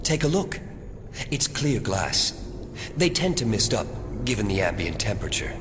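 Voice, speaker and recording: An actor playing a smug, calm man who runs a secret facility, speaking formally and condescendingly in an audio drama. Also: on the low side, noisy background. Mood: smug, proud. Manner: condescending, measured, formal wording.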